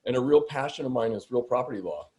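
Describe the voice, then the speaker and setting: A man's voice: medium pitch, expressive, fast talker, darkish tone. A man in his fifties from the Seattle area, a landlord attorney answering questions on a video-call webinar in a chatty, self-deprecating way. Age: 50s. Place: home room indoors, video call.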